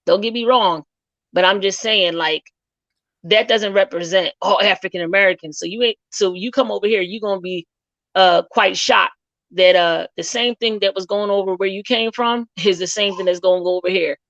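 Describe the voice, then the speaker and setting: A woman with a creaky, gravelly voice at a middling pitch gives blunt opinions as a panelist. She talks casually and colloquially over dull, muffled audio. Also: moderate pace, fairly bright tone, no echo.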